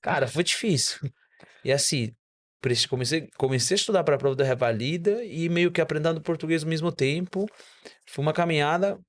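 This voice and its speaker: A man's voice: fairly high pitch, a little fast. A man whose first language is Spanish, speaking as a doctor guest on a casual podcast and explaining things with frequent self-corrections.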